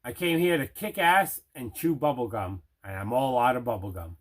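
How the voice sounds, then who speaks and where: A middle-aged man casually showing items in an unboxing, deliberate and repeating himself. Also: medium pitch. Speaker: host of a movie collecting show. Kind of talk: casual unboxing show-and-tell.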